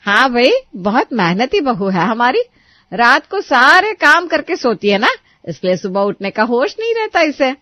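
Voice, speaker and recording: A sharp-tongued, nagging mother-in-law, voiced by a woman who bosses her daughter-in-law around with sarcasm. She sits a little high in pitch. The sound is dry and close, with no room around it. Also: animated, normal speed, dry recording, muffled recording. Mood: sarcastic. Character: demanding greedy middle-aged mother-in-law, taunting.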